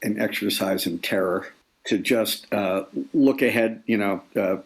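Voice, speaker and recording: Male author guest, sixties, low creaky voice, hesitant conversational delivery. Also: poor audio. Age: 60s. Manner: hesitant, halting, often repeating words. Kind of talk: reflective interview conversation.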